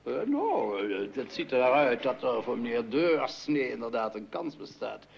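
An actor playing a fussy, bumbling doctor, stammering and awkwardly polite, in old radio comedy style. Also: male voice, mid-range voice, very animated, normal speed, gravelly, slight background noise. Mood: confidential, awkward. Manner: stammering, hesitant, flustered. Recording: muffled old radio recording.

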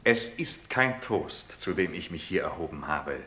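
An actor playing a pompous, ceremonious gentleman giving a festive toast in halting, declamatory German radio drama style. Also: low-pitched, expressive, a little hiss or hum, exaggerated voice. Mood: festive, solemn. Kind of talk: German radio play acting. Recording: roomy, reverberant drama scene.

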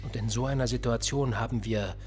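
An actor playing a cold, sardonic police interrogator in a radio play, calm and mocking with a menacing edge and a husky voice. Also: male voice, mid-range voice, brisk, soft background music, natural voice. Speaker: police officer.

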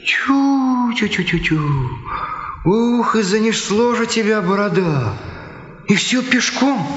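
High, swooping breezy factory lad. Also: male voice, expressive, slow pace, fairly bright tone, slight background noise, exaggerated voice. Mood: curious. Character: breezy young male factory hand with accordion. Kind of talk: radio drama acting. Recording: echoey, dull lo-fi radio play, faint music.

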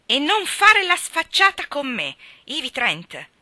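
A defiant little boy from a storybook, performed by a woman narrator, forceful and sharp. He blusters and threatens with a quick temper, his pitch pushed upward. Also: very animated, no echo, heavily acted. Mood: furious, threatening.

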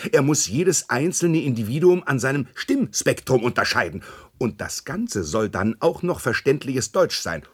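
Husky male voice, boastful scheming director, radio drama, effusive, widely swinging intonation. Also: medium pitch. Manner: effusive, hesitating, emphatic repetition. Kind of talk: German radio play acting.

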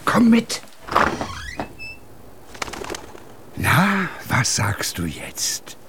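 An actor playing a whimsical, kindly junk collector in a German radio play, musing and animated with a raspy voice. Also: male voice, on the high side, extremely slow, slight background noise, full character voice. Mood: lively, confiding.

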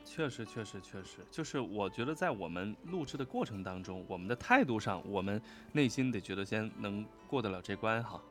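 A man's voice: fairly low pitch, lively intonation, a little fast, few pauses, fairly bright tone, faint music behind. A man talking casually and supportively as an audio drama colleague, agreeable and given to repeating short phrases.

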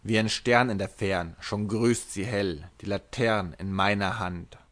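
Brief: a happy young man on a stormy beach waiting for his bride, a man reading it, drawn-out verse. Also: lowish voice, fairly even intonation, fairly bright tone. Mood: cheerful.

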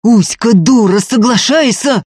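A narrator playing a hot-tempered, rough young peasant man in a dramatic audiobook. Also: mid-range voice, normal speed, no echo, studio quality, close to an everyday voice. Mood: bossy, furious. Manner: yells and hisses through gritted teeth.

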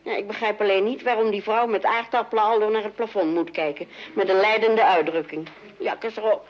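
A woman's voice: medium pitch, fairly even intonation, fairly quick, lo-fi sound, natural voice. A woman playing a middle-aged housewife and mother in a radio play, chattering, fussy and easily distracted. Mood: fidgety, fussing. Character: chatty, fidgety mother and housewife posing for her son.

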